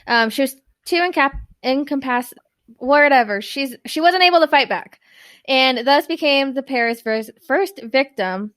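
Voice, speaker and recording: A woman podcast co-host with a fairly high voice talks through a true crime story in loose, casual chat. She rambles, leans on filler words, and trips over long words. Her first language is Spanish, and she grew up in New York. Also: expressive, a little slow, fairly bright tone.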